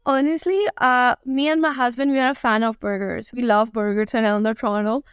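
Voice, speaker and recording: A woman in her thirties with a Pakistani accent, chatting casually as an interview guest in a halting way, repeating phrases for emphasis. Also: on the high side, fairly even intonation, clean tone, dry recording, clean studio sound. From Karachi, Pakistan. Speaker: guest, food and lifestyle blogger.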